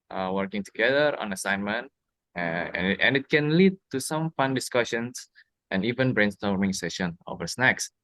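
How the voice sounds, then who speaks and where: Low-voiced young Indonesian-accented man. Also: fairly bright tone, no room sound. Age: young adult. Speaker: university student. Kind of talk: prepared question-and-answer speaking exercise.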